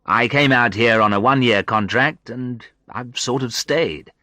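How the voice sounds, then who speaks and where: A man's voice: medium pitch, measured pace, pushed and bright, dry recording. A man voicing an agreeable, easygoing British expatriate teacher in an audiobook story, speaking reflectively. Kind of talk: audiobook character dialogue.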